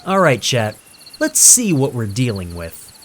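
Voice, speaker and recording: A man's voice: medium pitch, wildly swooping pitch, measured pace, a bit bright, a little hiss or hum. A man voicing a cocky young ghost-hunting livestreamer in a horror story, brash and showy as if addressing an online audience. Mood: grinning, upbeat. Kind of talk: horror story character dialogue.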